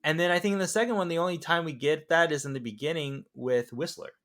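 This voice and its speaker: A man's voice: a little high, fast, fairly bright tone. A man hosting a podcast in a casual, conversational chat style. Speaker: podcast host.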